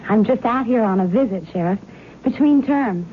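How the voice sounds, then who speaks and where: A woman's voice: a little high, lively intonation, moderate pace, narrow, tinny audio, lightly acted. A woman playing a polite, self-assured young college student, friendly and pert, in an old-time radio drama. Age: young adult. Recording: thin, noisy vintage radio recording.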